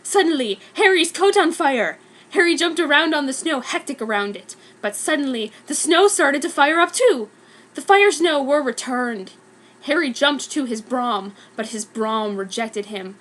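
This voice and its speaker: A woman's voice: high-pitched, expressive, a little slow, a bit bright, rough recording. A woman giving a dramatic, mock-serious reading of a scripted story as narrator. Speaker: reader of a fan story.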